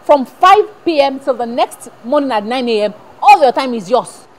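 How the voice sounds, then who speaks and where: A woman plays a worn-out mother who keeps the peace between her son and his father. Her high voice leaps up and down as she scolds, then coaxes him. Her words hop between languages. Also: lively intonation, normal speed. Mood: exasperated. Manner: code-switching, scolding and coaxing. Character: worried middle-aged mother mediating at home. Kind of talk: emotional family drama acting.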